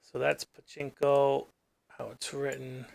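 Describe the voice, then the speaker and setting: Guy vlogging at his desk, mumbly, thinking out loud, dry room. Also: mid-range voice, level tone, slow pace, creaky, no room sound. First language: English. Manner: rambling, thinks aloud, mumbles and trails off. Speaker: gaming channel host. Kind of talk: casual screen-recording commentary.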